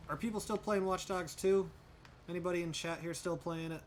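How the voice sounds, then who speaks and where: A man's voice: high voice, fairly even intonation, brisk, darkish tone, slight background noise. A young man livestreaming a driving game, chatting casually, thinking aloud and thanking viewers by name. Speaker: streamer. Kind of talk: casual livestream chat while gaming.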